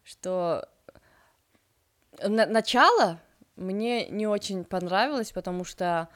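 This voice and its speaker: A woman's voice: medium pitch, slow pace. A woman co-hosting a podcast, chatting casually about a book with many fillers and restarts. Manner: hesitant, many fillers and restarts, animated when quoting. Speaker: podcast co-host.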